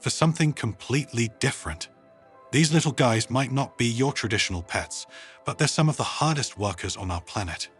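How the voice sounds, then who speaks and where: A man voicing an enthusiastic, earnest woman scientist in a storybook, with a bright, eager, persuasive manner. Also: medium pitch, lively intonation, brisk, faint music behind, natural voice. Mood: cheerful enthusiasm. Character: enthusiastic, earnest female human scientist proudly presenting worms.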